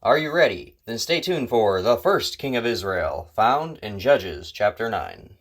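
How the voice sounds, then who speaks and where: A man's voice: mid-range voice, sing-song, a little slow. A man hosting and narrating a story in a dramatic, scripted style. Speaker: host and narrator.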